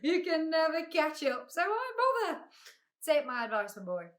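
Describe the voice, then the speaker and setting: A woman voicing a blustering, pompous male beetle humbug with a booming delivery in a storybook reading. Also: big pitch swings, moderate pace. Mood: boastful. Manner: pompous, grandiloquent.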